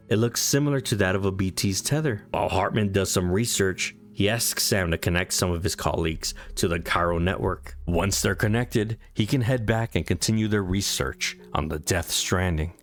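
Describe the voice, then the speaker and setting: A man reading a scripted story recap as a narrator. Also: low-pitched.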